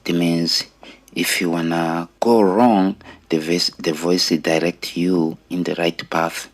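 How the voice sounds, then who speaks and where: Guy with a rwandan accent, really low voice, takes his time, earnest personal storytelling. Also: level tone. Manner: earnest, deliberate, with pauses between short phrases. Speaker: storyteller sharing own life story. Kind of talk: personal testimony.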